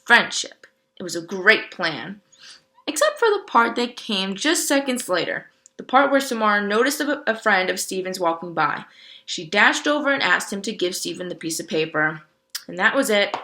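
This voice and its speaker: Bright-voiced woman reading a story aloud. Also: mid-range voice, sing-song, normal speed. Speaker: reader commenting on the book. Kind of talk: read-aloud storytelling with casual commentary.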